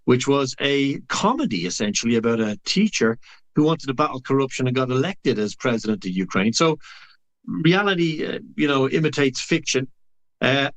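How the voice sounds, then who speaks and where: Irish commentator guy, long rambly answers, lots of uhs. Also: medium pitch. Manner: long explanations full of uh fillers. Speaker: political commentator. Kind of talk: guest on a talk show.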